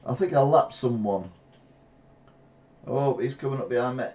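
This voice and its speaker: A middle-aged English man streaming a retro racing game, reacting excitably and trash-talking rivals from a gaming chair at home. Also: mid-range voice, normal speed, lo-fi sound. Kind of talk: live gameplay commentary. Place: small room at home, seated in gaming chair.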